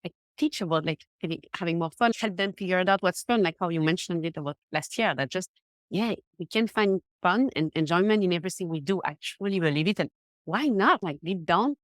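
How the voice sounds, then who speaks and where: A young woman from Switzerland, not a native English speaker, chatting as a confidence coach guest in a casual podcast interview, animated with many fillers. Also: lowish voice, brisk, no room sound, studio quality.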